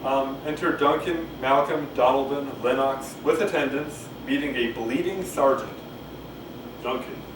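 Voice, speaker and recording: A man's voice: medium pitch, a little slow. A man casually reading a play aloud to camera, rambling, hesitant and self-deprecating, with muttered asides. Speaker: amateur play reader. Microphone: camcorder microphone at some distance. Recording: echoey, noisy camcorder audio from far off.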